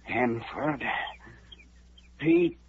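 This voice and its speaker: An actor playing a gravely wounded settler, weak and fading, speaking in halting, breathless broken phrases. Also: male voice, mid-range voice, huge pitch swings, full character voice. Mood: dying. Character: gravely wounded male settler, frightened and urgent. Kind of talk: 1950s radio drama acting. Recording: muffled, hissy 1950s radio broadcast.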